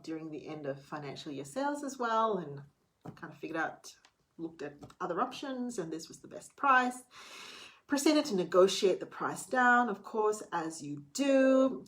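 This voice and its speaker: A woman's voice: lowish voice, fairly bright tone. A middle-aged woman talking candidly to camera in a casual late-night vlog, chatty and a little theatrical. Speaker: vlogger.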